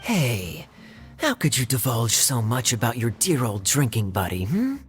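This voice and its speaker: Game casting: a teasing, silver-tongued young knight with a lively delivery. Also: male voice, medium pitch, a little slow. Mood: sly. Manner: playful, smooth-talking tease. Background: faint background music.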